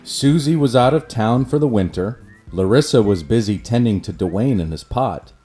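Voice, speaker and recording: A man narrating a rhyming children's storybook in an expressive, measured way. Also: medium pitch, faint music behind.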